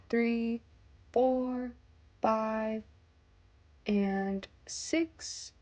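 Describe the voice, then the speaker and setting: A woman narrating a step-by-step craft tutorial, often counting aloud, as its host. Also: fairly high pitch. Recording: soft music bed under voice. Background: background music.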